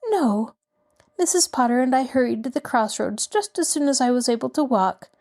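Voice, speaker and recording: A woman's voice: sits high, lively intonation, normal speed, darkish tone, voice pushed up. A woman voicing a genteel middle-aged lady in distress who pleads breathlessly and near tears. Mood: eager, fluttery.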